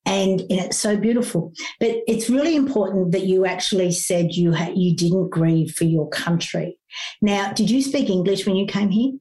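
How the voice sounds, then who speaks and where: A woman's voice: medium pitch, moderate pace, mellow, poor audio. A middle-aged woman, a grief coach talking through her process in an interview in an earnest, teacherly way, with frequent fillers and restarts. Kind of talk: conversational interview.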